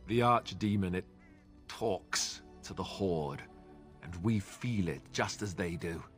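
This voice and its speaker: An actor playing a friendly, self-deprecating young warrior in a fantasy video game, conversational and wry. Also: male voice, low voice, animated, slow, faint music behind. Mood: concerned, explaining.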